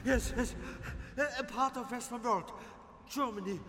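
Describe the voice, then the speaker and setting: An actor playing a panicked victim begging in a radio thriller, with broken, repetitive phrases. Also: male voice, extremely high pitch, unhurried. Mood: terrified pleading. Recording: reverberant, noisy, far from mic.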